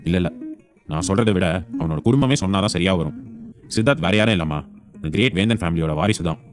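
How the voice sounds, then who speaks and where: A man performs a protective grandfather in an audio drama. His voice sits fairly low and moves quickly. He speaks with stern, emphatic authority. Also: lively intonation, soft background music. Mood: firm, reassuring. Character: stern, protective grandfather, indignant family elder. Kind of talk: audio serial drama acting.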